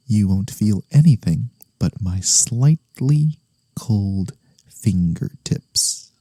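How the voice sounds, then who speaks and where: Husky, teasing man as an incubus demon. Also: lowish voice, mellow, no echo, full character voice. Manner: breathy, teasing, drifting into whispers. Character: male incubus demon, teasing, theatrical yet wholesome. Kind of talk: soft-spoken ASMR fantasy roleplay.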